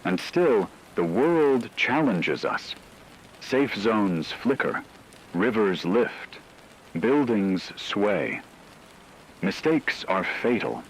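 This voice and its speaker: Male narrator for dramatic scripted story: deep, unhurried, grave and portentous, over faint ambient noise. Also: sing-song, low-quality recording. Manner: grave, measured, portentous delivery with deliberate pauses.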